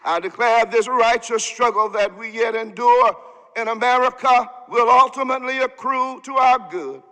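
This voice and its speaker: A man's voice: very high voice, bright, forceful tone, clean tone. A man preaching a sermon in rhythmic, emphatic oratory, building with repeated phrases. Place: large synagogue sanctuary. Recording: long hall reverb from a sanctuary.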